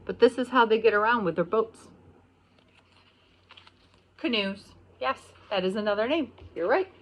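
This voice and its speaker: A middle-aged woman with an American accent, a pre-kindergarten teacher reading a picture book aloud and explaining it simply, pausing on key words. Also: medium pitch, dull audio.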